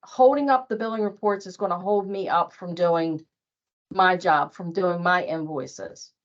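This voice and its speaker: A woman's voice: fairly low pitch, unhurried. Woman giving emphatic training, dull audio. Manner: emphatic, repeats points for stress. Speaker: billing staff trainer. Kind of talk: informal workplace training talk.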